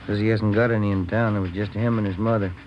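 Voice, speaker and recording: An actor playing a practical, kindly police detective in a 1950s radio crime drama, casual and plain-spoken with an American accent. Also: male voice, on the low side, steady tone, fast, natural voice. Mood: businesslike. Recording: dull, noisy vintage radio recording.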